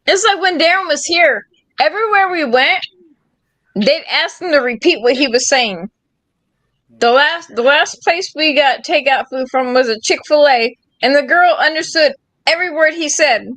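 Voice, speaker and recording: High-voiced chatty woman host. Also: moderate pace, fairly bright tone, dry recording. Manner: chatty, asks questions. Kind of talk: casual chat.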